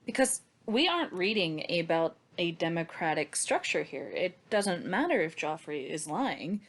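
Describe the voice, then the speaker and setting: A woman's voice: fairly low pitch, big pitch swings, normal speed. A woman hosting a book discussion in a sardonic, casually sweary way. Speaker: podcast host.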